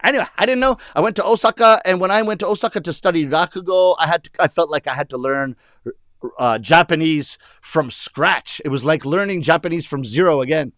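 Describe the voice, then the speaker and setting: High-voiced man telling comic stories. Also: brisk, dry recording, clean studio sound. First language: English. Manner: animated, shouts put-on voices of Japanese ladies. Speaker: rakugo comedian. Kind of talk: stand-up storytelling to an audience.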